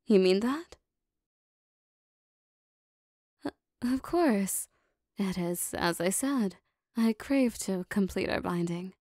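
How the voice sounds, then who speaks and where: Casting: actress as possessive, adoring demoness for intimate roleplay audio. Soft, warm dark tone, very close dry recording, formal diction. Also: female voice, medium pitch, expressive, dry recording. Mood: flustered eager. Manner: soft, breathy, formal archaic phrasing, lingering pauses. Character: lovestruck possessive female demon bound to a sorcerer.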